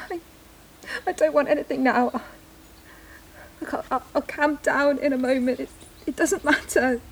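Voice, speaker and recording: Distraught, confused young woman played by an actress, very high voice, slow tearful fragments, audio drama. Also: slight background noise, full character voice, low-quality recording. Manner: fragmented, repetitive, stammering. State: tearful, breaking down. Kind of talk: emotional audio drama acting.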